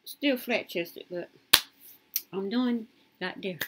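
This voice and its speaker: A woman in her late fifties rambling through her life story in a casual, lively way. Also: takes it slowly, very noisy. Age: 50s. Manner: lively, swoops high when quoting others.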